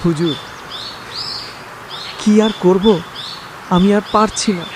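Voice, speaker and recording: A man acting a plaintive, poor village father. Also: sits high. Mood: broken despair. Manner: plaintive, pleading, prayerful. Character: poor, despairing but devout village father. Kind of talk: dramatised moral cartoon story. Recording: noisy track with birdsong and nature ambience.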